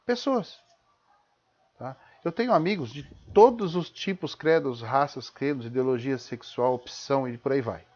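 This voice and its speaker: A man with a Brazilian accent and a medium-pitched voice speaks as the host of an informal live talk. He is didactic and emphatic, often posing rhetorical questions and checking in with short tags. The audio is dull and low-fidelity. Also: moderate pace, darkish tone. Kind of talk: informal spoken lecture.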